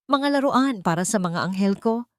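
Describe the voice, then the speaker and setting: A woman voicing an elegant, possessive aunt in an audio drama, honeyed and gushing with false warmth. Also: mid-range voice, animated, normal speed, no echo, studio quality, pitched above the performer's own voice, natural voice. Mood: gushing, falsely sweet. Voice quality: honeyed, sweet. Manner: gushing, overly affectionate.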